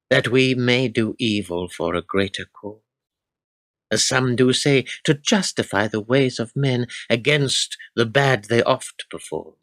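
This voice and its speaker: Man playing cruel old-world lord for a radio drama, slow and menacing, swings up and down a lot. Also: mid-range voice, no echo. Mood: sinister, brooding. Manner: archaic, theatrical, menacing speech. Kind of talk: radio play acting.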